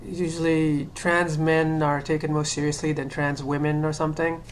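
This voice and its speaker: A woman's voice: sits low, level tone, moderate pace, slight background noise. A woman from Sri Lanka talking to camera in a casual monologue, hesitant, with many fillers and restarts. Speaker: vlogger.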